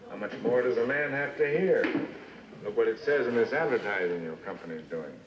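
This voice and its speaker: An actor playing a firm, gruff frontier sheriff with blunt, folksy Western talk in a radio western drama. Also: male voice, mid-range voice, lively intonation, brisk, natural voice. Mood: interested. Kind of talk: old-time radio western acting. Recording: muffled, noisy, echoey old radio recording.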